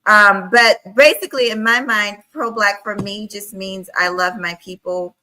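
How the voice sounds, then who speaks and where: A woman's voice: medium pitch, measured pace, bright, forceful tone, low-quality recording. A woman hosting a live-stream panel, earnestly explaining her views in casual chat. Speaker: stream host.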